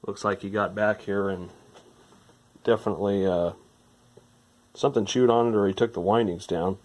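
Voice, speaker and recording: A man's voice: lowish voice, fairly even intonation, normal speed, darkish tone. A man giving informal hands-on repair narration, rambling a little as he thinks aloud. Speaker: electronics repair hobbyist presenting a restoration.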